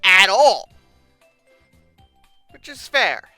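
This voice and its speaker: Animated, bright-voiced sarcastic video host. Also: medium pitch, extremely slow, dry recording, faint music behind. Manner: sarcastic, exasperated, with dramatic emphasis. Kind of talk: casual humorous commentary on pictures.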